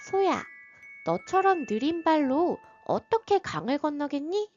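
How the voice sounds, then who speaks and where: A woman putting on a cheeky squeaky rabbit. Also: big pitch swings, measured pace, darkish tone, dull audio, slight background noise. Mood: teasing. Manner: teasing, giggly. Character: cheeky fast young rabbit.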